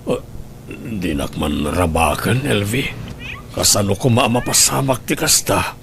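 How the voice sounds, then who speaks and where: Gentle forgiving husband, soft emotional delivery, radio drama, faint noise. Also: male voice, fairly low pitch, very animated, measured pace, vocal fry, heavily acted. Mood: astonished, moved. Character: gentle, forgiving former husband, astonished new father. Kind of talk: Ilocano audio drama acting.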